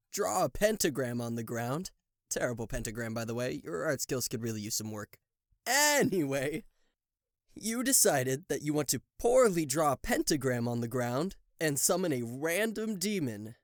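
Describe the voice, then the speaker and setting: A proud, theatrical king of hell, acted out by a man for a roleplay audio drama. His voice sits fairly high and drips with sarcasm and showmanship. The sound is very dry, with no room on it. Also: very animated, normal speed, no echo. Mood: sarcastic, incredulous. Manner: sarcastic, showy, stammering in disbelief. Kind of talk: roleplay audio drama acting addressed to the listener.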